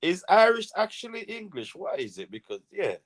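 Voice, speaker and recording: A man's voice: high-pitched, big pitch swings, moderate pace, a bit bright. A man joking around on a casual online panel, laughing often and repeating himself. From Jamaica.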